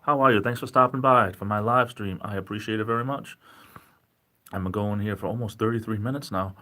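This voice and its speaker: A man with a Rhode Island accent and a fairly low voice, chatting into his webcam from home. He rambles in a friendly way and pokes fun at himself as he answers viewers. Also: fairly quick, bright, forceful tone. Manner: rambling, self-deprecating, chatty. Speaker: livestream host. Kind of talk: casual livestream chat.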